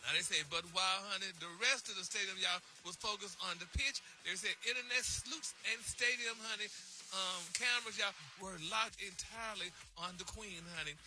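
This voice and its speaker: A man's voice: high voice, a little fast, pushed and bright, soft background music. A man doing Gary, a flamboyant gossip queen. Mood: gossipy. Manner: gushing, dramatic, peppers talk with "honey" and "y'all". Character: flamboyant sassy male celebrity gossip reporter. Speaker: gossip segment guest on radio show. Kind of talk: comic radio gossip bit.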